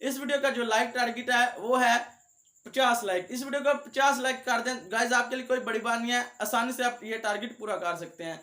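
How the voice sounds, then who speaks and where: High-pitched young man, echoey room. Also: brisk, sharp tone. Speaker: video creator, host. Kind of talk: vlog talk to viewers.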